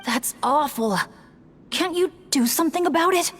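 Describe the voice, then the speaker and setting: High, earnest young adventurer. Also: female voice, lively intonation, moderate pace, a little hiss or hum. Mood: concerned. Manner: sincere, courteous. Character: earnest, polite, encouraging young woman adventurer. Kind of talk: video game voice acting.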